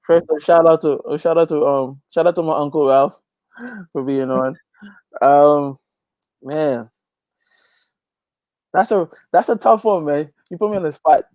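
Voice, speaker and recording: A man's voice: on the high side, measured pace, long pauses, a little mellow, dry recording. A man in a casual interview, speaking as a guest musician and repeating phrases emphatically as he builds a point. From Guyana. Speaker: interview guest, gospel reggae artist.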